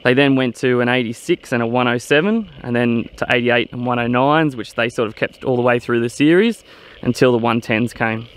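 A middle-aged man giving an unhurried, plain-spoken vlog walkaround, explaining things casually outdoors. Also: mid-range voice, poor audio. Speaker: vlogger, owner of a vintage off-road vehicle. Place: outdoors in open grassy bushland. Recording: windy outdoor noise.

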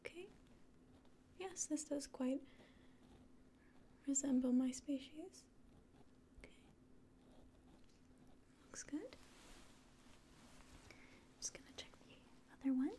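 Slow, whispering young woman, very soft. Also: high voice. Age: young adult. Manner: gentle, hesitant, unhurried. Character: polite, clinical alien examiner. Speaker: ASMR performer. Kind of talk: ASMR roleplay of a medical exam. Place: small room indoors. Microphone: close to the mic.

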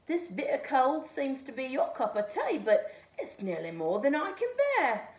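A woman's voice: high-pitched, very animated, moderate pace, pitched above the performer's own voice. Woman doing a weary shivering stray cat. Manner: sighing, loud and drawn out. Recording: echoey room, faint ambience.

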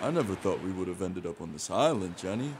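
A man's voice: low-pitched, expressive, fast talker, background noise. A man playing a naive, good-hearted crook's sidekick in an audio drama, simple and earnest. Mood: wistful. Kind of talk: audio theater acting.

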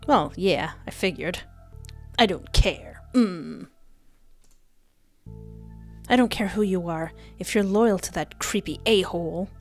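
A woman's voice: lowish voice, slow pace, pauses often. A young woman reading a visual novel aloud and reacting with cheeky, sassy commentary. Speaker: streamer, narrator. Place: indoors at a desk. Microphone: headset and close desk microphone. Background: faint game music.

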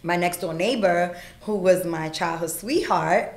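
A middle-aged woman born in Puerto Rico, a podcast guest telling her life story frankly, with plenty of ums and you-knows, on a desk boom mic in a small studio. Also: a little slow, reverberant. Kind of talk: candid life story in conversation. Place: small studio room with acoustic panels.

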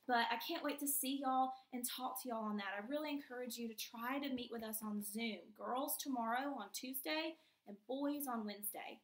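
Young woman, primary teacher type, high-pitched, measured read-aloud delivery for kids, roomy indoor sound. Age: young adult. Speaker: elementary school teacher. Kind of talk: children's read-aloud and chat to pupils. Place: small plain room indoors. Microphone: camera mic a few feet away. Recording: echoey small room.

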